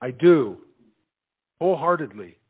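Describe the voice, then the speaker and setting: An actor playing a tongue-tied, earnest suitor in a comic period stage play, declaiming borrowed love lines in a halting way. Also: male voice, fairly high pitch, animated, husky. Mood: earnest wooing. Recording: muffled stage recording with faint music.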